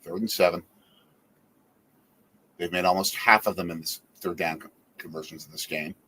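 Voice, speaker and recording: A man hosting a casual watch-along stream, calling the plays in a lively, excitable way. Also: sits low, moderate pace, husky, low-quality recording. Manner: lively, excitable, laughs often. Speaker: livestream host.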